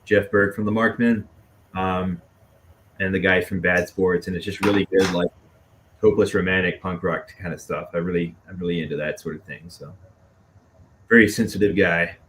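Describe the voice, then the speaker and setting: A man from Dallas chatting casually as a band musician being interviewed, halting and self-effacing. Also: low voice, steady tone, fairly bright tone. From Dallas, Texas. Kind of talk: casual chat. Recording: clearly noisy background.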